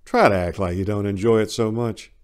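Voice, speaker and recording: A man's voice: fairly low pitch, fairly even intonation, a little fast, a little mellow, clear, resonant tone, natural voice. A man voicing an easygoing, sardonic Vietnam veteran in a psychiatric ward, wry and plain-spoken, in a dramatic story reading. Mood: wry protest.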